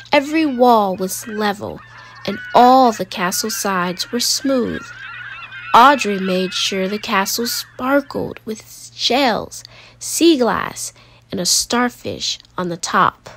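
A woman narrating a children's storybook aloud, expressively and deliberately, with dramatic emphasis on single words. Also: medium pitch, a bit bright, a little hiss or hum.

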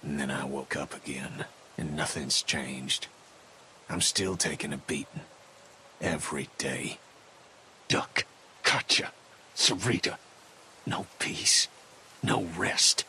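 A man's voice: rumbling low, slow pace, long pauses, bright, forceful tone, a little hiss or hum, full character voice, rough recording. A man playing a bitter, weary, grieving middle-aged survivor in a video game drama. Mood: bitter despair.

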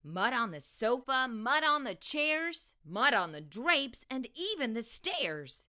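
Bright, animated woman storyteller. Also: on the high side. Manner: expressive, deliberate delivery for young children. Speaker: children's storytime host and reader. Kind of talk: read-aloud storytelling for children. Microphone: lapel mic.

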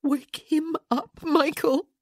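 A woman voicing a refined young aristocratic wife in an audiobook, playful and deeply emotional. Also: animated, normal speed, darkish tone, no room sound, studio quality, close to an everyday voice. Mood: screaming, desperate. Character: young aristocratic wife, playful then desperately grief-stricken. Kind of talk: dramatic audiobook character acting.

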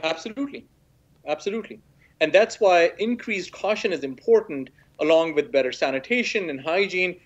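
A man from Pakistan in his forties talks through a science question from a small room at home. His voice sits a little high and comes through a muffled, lo-fi video-call mic. He explains in a measured way, full of small hedges and restarts. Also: animated. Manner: measured, hedging with "sort of", frequent restarts. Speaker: guest expert, professor. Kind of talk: explanatory interview talk. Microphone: laptop webcam video call. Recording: dull lo-fi video call audio.

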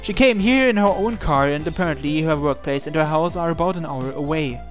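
A let's play host reads visual novel narration aloud and acts out the dialogue with expression. The voice sits at a middle pitch, with light game music playing behind it. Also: brisk.

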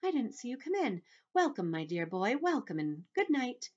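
A woman voicing a prim female glowworm who speaks slowly and coolly, in a dull lo-fi recording. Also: fairly high pitch, big pitch swings, a little mellow, pitched above the performer's own voice. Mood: sweet. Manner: slow and cold, then sweet.

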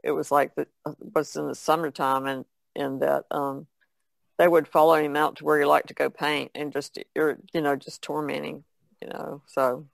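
A woman talking on a podcast in a relaxed, folksy, thoughtful way. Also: low voice, moderate pace, gravelly, no room sound. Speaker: podcast guest. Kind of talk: casual discussion.

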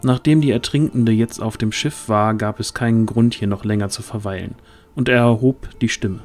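A man's voice: on the low side, moderate pace, a little mellow, soft background music. A man reading scripted narration for a fantasy audio drama.

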